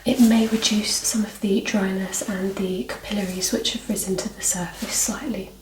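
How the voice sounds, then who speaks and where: A woman speaking softly, often dropping into a whisper, gently giving instructions as a doctor in an ASMR medical exam roleplay. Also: mid-range voice, steady tone, moderate pace. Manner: soft, partly whispered, gently instructing, reassuring. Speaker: performer playing a doctor giving an eye exam. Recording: roomy, distant mic, faint noise.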